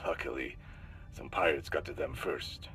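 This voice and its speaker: A man's voice: rumbling low, normal speed, pushed and bright, soft background music. An actor playing a menacing male criminal boss who speaks over a comms link in a video game cutscene, his manner smug and threatening. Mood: smug menace.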